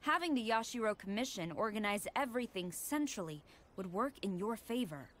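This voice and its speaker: A woman playing a stern, formal military commander in a video game, speaking with measured authority. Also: mid-range voice, animated, fairly bright tone, lightly acted. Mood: stern, businesslike. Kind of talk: scripted video game dialogue.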